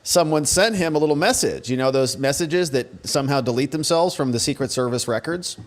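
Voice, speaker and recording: A man's voice: on the high side, fairly quick. A man giving casual, sarcastic commentary as a show host, speaking in a colloquial way. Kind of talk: casual commentary reacting to press conference clips.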